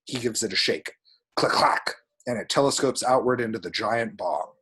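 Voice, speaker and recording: A man's voice: medium pitch, normal speed, sharp tone, husky, low-quality recording. A man reading screenplay action descriptions aloud as dramatic, vivid narration at a table read.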